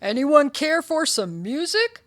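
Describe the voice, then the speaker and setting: Lady reading as a friendly boy dog in a kids book, eager and bouncy, kinda high and super animated, always inviting. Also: normal speed. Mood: welcoming, loud. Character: eager, sociable male pet dog throwing a party.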